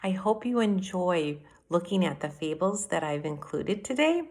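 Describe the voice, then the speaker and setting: A woman teacher reading aloud and explaining to young children in a deliberate, expressive way, speaking to them directly. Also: fairly low pitch. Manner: deliberate, addresses children directly with questions and asides. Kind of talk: expressive children's read-aloud and mini lesson.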